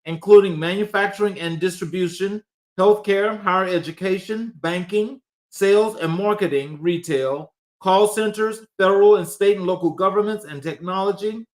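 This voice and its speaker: A man's voice: high voice, normal speed. A man hosting an interview show, reading a formal guest introduction in a list-like way and stumbling over fillers in conversation. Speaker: show host.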